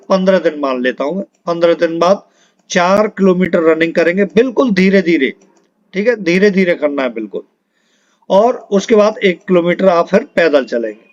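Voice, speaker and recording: A man coaching students in an informal, instructive way, repeating key points and often adding short check-in tags. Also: high-pitched. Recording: dull lo-fi audio.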